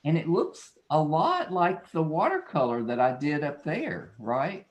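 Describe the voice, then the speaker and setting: A man's voice: fairly high pitch, very animated, a little slow. A man, an illustrator talking casually and playfully as he demonstrates drawing. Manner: folksy, playful, self-described silly. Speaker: children's book illustrator.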